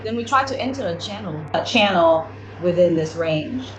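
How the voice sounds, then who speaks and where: Woman demoing a gadget step by step, kinda halting and repeats herself, noisy room sound. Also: lowish voice, expressive. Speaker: product demonstrator. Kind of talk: step-by-step spoken product tutorial. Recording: clearly noisy room ambience.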